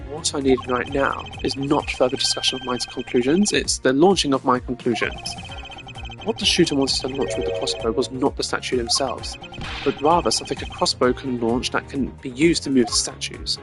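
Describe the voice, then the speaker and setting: A man voicing a composed, cerebral prosecutor in a video game, formal and deliberate in his wording. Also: medium pitch, lightly acted. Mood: assertive. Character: composed, cerebral male prosecutor arguing a case. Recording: game music behind the voice.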